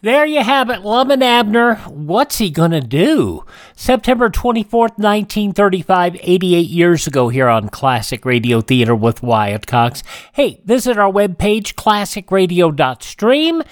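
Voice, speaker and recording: A man's voice: sits high, lively intonation, a little slow, no room sound, clean studio sound. An American man hosting a radio show in a promotional presenting style.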